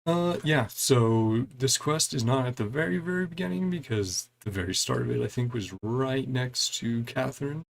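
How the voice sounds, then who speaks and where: A man's voice: medium pitch, normal speed, vocal fry, hardly any pauses. A man giving casual gameplay commentary, reading game dialogue aloud and adding wry, chuckling reactions in a laid-back way. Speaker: gaming streamer doing a playthrough.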